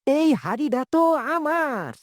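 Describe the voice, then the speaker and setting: A woman's voice: sits high, animated, slow, dry recording, studio quality. A woman voicing a greedy, lying male villager in a moral cartoon, insistent and colloquial. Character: greedy, lying male villager claiming what is not theirs.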